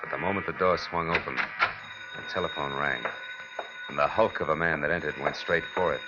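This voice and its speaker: An actor playing a wry, tough, wisecracking private detective in a 1940s radio noir drama. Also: male voice, extremely low pitch, normal speed. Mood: wry, tense narration. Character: wry, hardboiled male private detective narrating his case. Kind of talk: 1940s radio noir scripted narration and acting. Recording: dull lo-fi 1940s radio recording, music.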